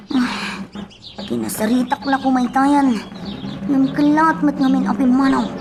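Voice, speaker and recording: Brooding, vengeful young woman, higher-pitched, emotional radio drama delivery, loud music underneath. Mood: brooding, longing. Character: grieving, vengeful young woman longing for her lost friend. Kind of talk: Ilocano radio drama acting.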